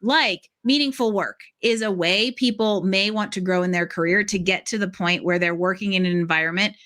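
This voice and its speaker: Bright-voiced woman hosting a podcast. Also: medium pitch, normal speed. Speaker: podcast host interviewing a guest. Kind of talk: conversational podcast interview.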